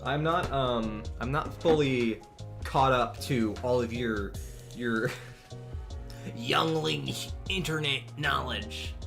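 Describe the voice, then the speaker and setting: A man's voice: mid-range voice, slow pace, gravelly, fairly bright tone. A man in his twenties chatting casually on a live art stream, rambling with many fillers and restarts. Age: 20s. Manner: rambling, frequent fillers and restarts, chuckles often. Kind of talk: casual live-stream chat while drawing. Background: faint background music.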